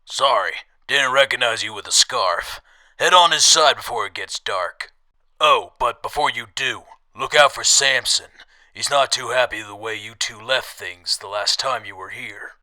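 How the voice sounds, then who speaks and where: A man's voice: medium pitch, a little slow, pushed and bright, dry recording. A man playing a wary gate guard in a post-apocalyptic radio drama. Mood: wary, then friendly.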